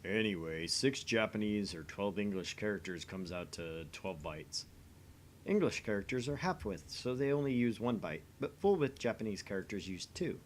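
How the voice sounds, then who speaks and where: Lowish-voiced man reading a visual novel, some noise. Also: animated, normal speed. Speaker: voice reader in a visual novel playthrough. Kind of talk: acted reading of game dialogue and narration.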